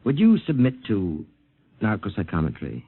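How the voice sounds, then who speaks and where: An actor playing a calm, clinical doctor who speaks in a measured, authoritative way, in a 1950s radio drama acting style, heard through a tinny old radio broadcast. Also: male voice, on the low side. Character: calm, clinical middle-aged male doctor.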